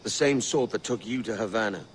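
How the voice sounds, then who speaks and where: An actor playing a roguish, cocky pirate captain in a video game, speaking in a wry, confident, terse way. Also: male voice, on the low side, a little fast, close to an everyday voice. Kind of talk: video game cutscene acting. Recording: faint ambient noise behind.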